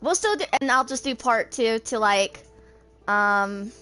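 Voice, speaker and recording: Woman, twenties, higher-pitched voice. Casual gaming video creator, rambles and thinks aloud with hesitant delivery. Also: expressive, measured pace, pushed and bright, faint music behind. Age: 20s. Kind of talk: casual rambling gameplay commentary.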